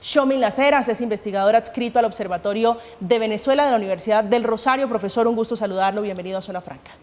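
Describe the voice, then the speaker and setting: A woman's voice: on the high side, fast, darkish tone. A woman formally moderating a televised debate as its host. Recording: long hall-like reverb.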